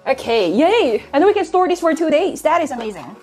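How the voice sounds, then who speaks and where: A woman's voice: high-pitched, sing-song, brisk, a little mellow, faint music behind. A woman in her late twenties chatting casually in a bubbly, giggly way, talking into a clip-on mic in a small apartment. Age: 20s. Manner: bubbly, giggly, sings and repeats phrases. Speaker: vlogger. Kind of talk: casual vlog chat. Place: small apartment room, indoors. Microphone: clip-on lapel mic.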